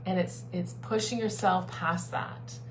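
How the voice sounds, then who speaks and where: A woman's voice: on the low side, unhurried, low-quality recording. A woman life coach giving an earnest, persuasive live-streamed talk to her online group, repeating her key phrases. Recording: steady background hum.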